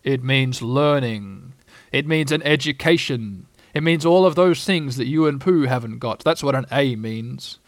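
A man voicing a gloomy, pompous old male donkey who talks slowly, solemnly and bitterly. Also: medium pitch, animated, no echo.

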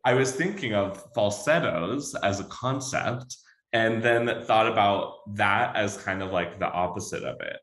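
Young southern guy, lowish voice, chatty with lots of "like", echoey room. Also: a little slow, a bit bright. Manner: chatty, laughing, many "like" fillers. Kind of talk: casual chat.

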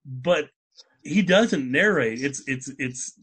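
A man's voice: a little high, animated, slow pace, a bit bright, slightly rough. A man hosting a podcast in casual chat, talking in an informal, joking way. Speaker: podcast host.

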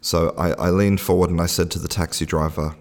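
Deep-voiced man telling a chatty story. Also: steady tone, brisk, in a large hall. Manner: chatty storyteller, many restarts and fillers. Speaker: podcast host. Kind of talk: casual spoken podcast monologue.

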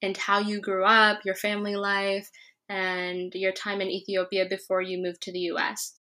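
A woman's voice: mid-range voice, completely flat delivery, normal speed, bright, forceful tone. A woman hosting a podcast interview, opening with an upbeat scripted introduction before chatting with her guest. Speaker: podcast host.

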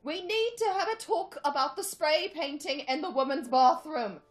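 A woman's voice: high-pitched, normal speed, faint music behind, pitched above the performer's own voice, natural voice. A woman voicing a haughty, bossy older woman boss with a put-on British accent and a clipped, disdainful manner. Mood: exasperated.

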